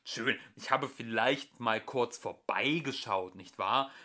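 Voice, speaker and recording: A man voicing a brash, slippery two-headed male alien who talks loudly and evasively. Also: mid-range voice, slow pace. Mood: blustering. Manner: loud, blustering, evasive.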